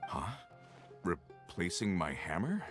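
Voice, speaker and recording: A middle-aged working father from an anime game. The voice is low and plain-spoken, earnest and world-weary, with faint music underneath. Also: sing-song, slow pace, full character voice. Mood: uncertain, pondering. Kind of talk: anime game character dialogue.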